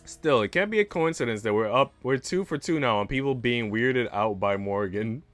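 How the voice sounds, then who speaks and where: A man's voice: medium pitch, lively intonation, normal speed, a bit bright, no echo, soft background music. A man livestreaming, reading story narration aloud and adding slangy, playful casual commentary.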